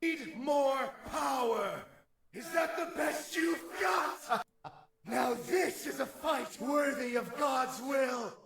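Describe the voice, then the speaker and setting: An actor playing a wrathful, righteous angelic warrior in a video game, with a gravelly voice, echoing reverb and grand archaic declamation. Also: male voice, very high voice, slow, fairly bright tone, heavily acted. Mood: furious, exalted. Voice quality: raspy, gravelly. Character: wrathful righteous male holy warrior, zealous avenging angel. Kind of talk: dramatic video game villain monologue.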